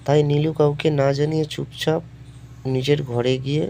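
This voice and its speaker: A man's voice: fairly high pitch, flat delivery, moderate pace, gravelly, a little hiss or hum. A man narrating a scripted horror story in storytelling fashion. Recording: loud music under the voice. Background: loud background music.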